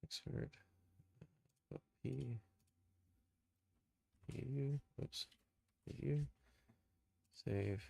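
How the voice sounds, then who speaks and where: A man giving an informal live software tutorial, thinking aloud and muttering steps to himself as he works. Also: medium pitch, drawn-out words, vocal fry, pauses often, slightly rough. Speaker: live streamer, game and cartoon developer.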